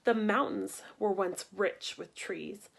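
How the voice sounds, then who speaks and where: A woman reading a picture book aloud for children in an expressive, deliberate way, acting out the story. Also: mid-range voice, gravelly. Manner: animated, deliberate, acts out sound effects. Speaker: storybook reader.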